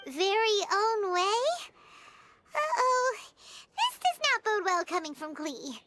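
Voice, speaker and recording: A woman voicing a small, chirpy fairy-like girl companion in a video game, childlike, squeaky and exclamatory. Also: big pitch swings, takes it slowly, noisy background, exaggerated voice. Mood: wary. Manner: exclamatory, talks of self in third person. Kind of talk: animated video game dialogue. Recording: music playing behind the voice.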